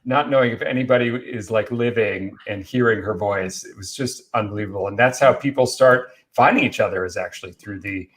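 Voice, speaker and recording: A man's voice: fairly low pitch, brisk, hardly any pauses, a bit bright. Chatty male podcast co-host. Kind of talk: casual film discussion among friends.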